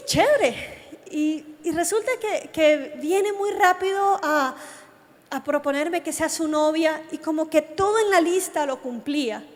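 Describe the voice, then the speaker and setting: A woman with a Colombian accent preaching to young people, telling personal stories and addressing her listeners directly. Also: sits high. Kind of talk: youth sermon with personal testimony. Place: large reverberant meeting hall. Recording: long hall reverb from a sound system.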